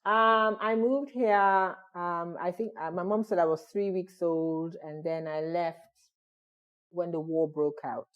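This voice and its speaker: A woman with a lowish voice, speaking as a guest coach in a podcast interview. She sounds friendly and relaxed. Her audio comes through muffled and lo-fi, like a remote call. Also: slow. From United Kingdom. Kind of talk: casual interview chat.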